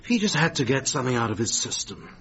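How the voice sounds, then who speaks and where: An actor playing a smooth, manipulative theatre manager and controlling lover in a naturalistic radio drama. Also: male voice, mid-range voice, big pitch swings, quick pace, fairly bright tone, muffled recording. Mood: upbeat, smug. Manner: cajoling, domineering, self-satisfied.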